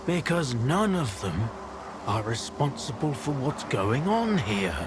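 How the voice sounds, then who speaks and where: An actor playing a calm, principled wasteland doctor in a video game, speaking in a measured, solemn way. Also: male voice, medium pitch, noisy background. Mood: grave. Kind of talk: dramatic video game dialogue.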